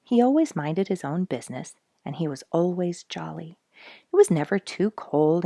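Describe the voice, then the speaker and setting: A woman's voice: low-pitched, vocal fry, warm tone, no room sound, clean studio sound. A woman hosting a children's story reading, addressing young listeners in a friendly way as she narrates and chats. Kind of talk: read-aloud children's narration with chatty asides.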